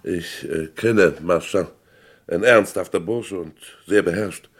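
A forensic doctor in a radio crime drama. His voice is very deep, and he speaks deliberately, with a dry, ironic edge and plenty of self-assurance. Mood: sceptical, probing.

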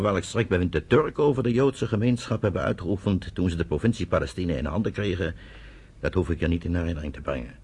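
A man's voice: lowish voice, darkish tone, breathy edge, exaggerated voice. An actor playing a stern chairman of a secret meeting, oratorical and emphatic, in a Dutch radio play.

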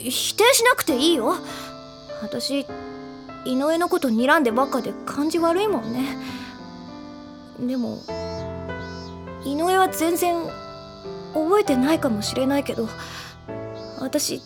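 A woman playing a prickly high school girl who hides her affection, curt, defensive and easily flustered, in an anime audio drama. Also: lively intonation, measured pace, pauses often. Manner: curt, defensive, trailing into shy mumbles. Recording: studio drama with music underneath.

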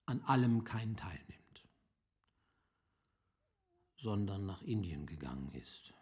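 An actor playing a worldly, resigned older gentleman guest in a radio play, speaking in a measured, reflective way with a creaky voice. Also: fairly low pitch, very animated. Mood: wistful, resigned. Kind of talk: literary radio play dialogue.

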